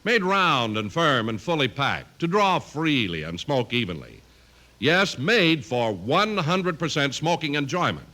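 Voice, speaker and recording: A man's voice: on the high side, sing-song, unhurried, pushed and bright. A man reading 1950s radio advertising and credits as an announcer, with emphatic salesman delivery.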